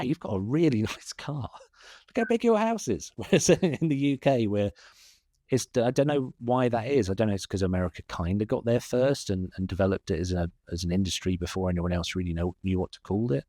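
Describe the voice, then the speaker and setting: A man's voice: lowish voice, darkish tone, no echo, studio quality. A man with a British accent, answering interview questions in a rambling, self-deprecating way, often laughing at his own remarks. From England. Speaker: guest, SEO consultant.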